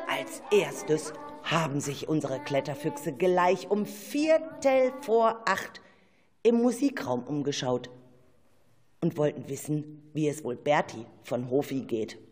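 Children's story narrator, dramatic delivery, very lively pitch, long hall reverb. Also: breathy edge. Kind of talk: scripted children's radio play narration.

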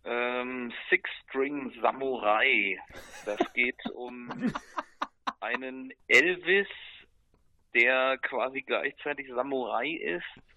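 A man with a medium-pitched voice chats as a radio guest. He is laid-back and colloquial, and the studio sound is dry and a little dull. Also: no echo. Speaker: musician interview guest. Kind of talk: casual chat, spontaneous answers.

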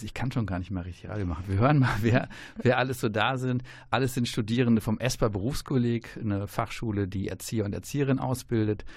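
A man's voice: on the low side, dry recording, clean studio sound. A man hosting a radio show in casual, jokey chat, laughing often.

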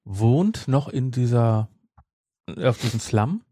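A man hosting a podcast in casual chat, with a halting, self-interrupting way of talking. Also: mid-range voice, animated, warm tone, no echo, studio quality. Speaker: podcast host. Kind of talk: casual chat, retelling a story.

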